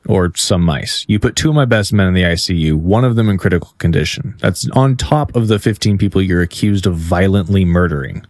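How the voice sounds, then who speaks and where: A stern, weary policeman running an interrogation. His voice sits low and his manner is firm and terse. The audio drama scene is recorded dry, with very little room sound. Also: fairly quick, hardly any pauses, darkish tone, no echo. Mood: stern, impatient.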